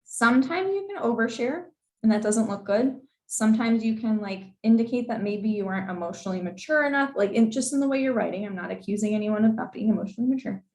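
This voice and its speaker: A woman's voice: a little high, lively intonation, fast, echoey room. A young woman who is losing her voice, presenting an informal info session in a chatty way with many fillers. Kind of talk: informal advice talk with slides.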